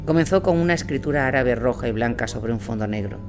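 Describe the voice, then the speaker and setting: A man narrating a scripted audio drama as a war reporter, in a measured, solemn storytelling manner. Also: medium pitch, animated, lightly acted. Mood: grave, measured. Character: male war reporter recounting grim events in Iraq. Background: music bed.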